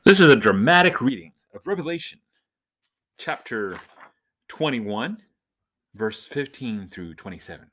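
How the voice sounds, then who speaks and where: A man's voice: mid-range voice, lively intonation, unhurried, pauses often, sharp tone, slight background noise. A man hosting a podcast, talking in a jokey, nerdy way. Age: adult, husband and father. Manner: jokey, self-described nerd. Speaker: podcast host and church minister. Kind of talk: casual humorous podcast monologue.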